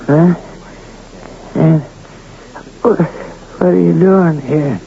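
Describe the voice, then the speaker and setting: An actor playing a blunt, suspicious ailing rancher in a 1970s radio drama, with folksy speech. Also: male voice, on the high side, drawn-out words, gravelly, warm tone, slight background noise, full character voice. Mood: puzzled. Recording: muffled vintage radio recording.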